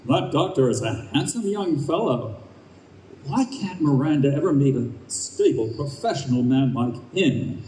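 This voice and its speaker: A man's voice: mid-range voice, animated, a little slow, mellow, natural voice. An actor playing an anxious, indignant father awaiting rebirth, in an earnest, exclaiming old-time radio style. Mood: anxious chatter. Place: live performance in a convention room. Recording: roomy, distant live stage recording, faint noise.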